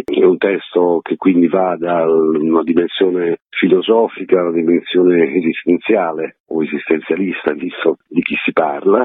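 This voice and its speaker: A man's voice: low voice, slightly rough, dry recording. A man, an actor being interviewed on radio, explaining in a reflective, wordy way over a phone line. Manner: reflective, wordy, with frequent qualifiers. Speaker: stage actor, interview guest.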